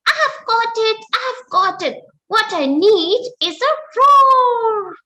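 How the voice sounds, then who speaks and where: A woman voicing a tiny, timid male brown mouse in a high, squeaky voice. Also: very animated, takes it slowly, sharp tone, heavily acted, rough recording. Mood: excited.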